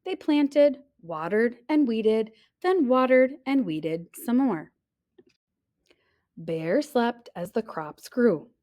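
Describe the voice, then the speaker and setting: A woman reading a children's picture book aloud in a deliberate, expressive storytelling manner. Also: on the high side.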